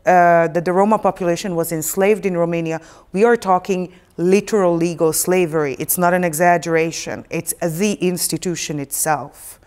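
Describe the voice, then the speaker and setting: A woman hosting a panel talk, lively and wry, steering the discussion with pointed questions. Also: on the low side, moderate pace, few pauses, clear, resonant tone. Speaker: podcast host. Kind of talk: conversational panel moderation.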